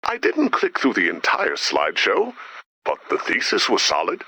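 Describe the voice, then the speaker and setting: A man voicing a reassuring, mildly officious supervisor in an animated video game, measured and encouraging, through a radio filter. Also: sits low, husky, no echo, exaggerated voice, poor audio. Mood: mild, official.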